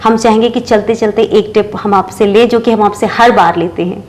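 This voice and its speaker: A woman's voice: mid-range voice, steady tone, normal speed, a little mellow, slight background noise. A woman hosting an interview show, putting long-winded questions to her guest. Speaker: programme host interviewing an astrologer.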